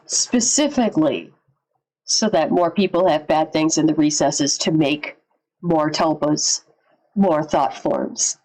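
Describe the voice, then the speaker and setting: Slow-talking woman musing in a low voice. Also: poor audio. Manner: informal, sweary, musing out loud. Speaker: streamer reacting to and analysing horror videos. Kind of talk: casual live reaction commentary.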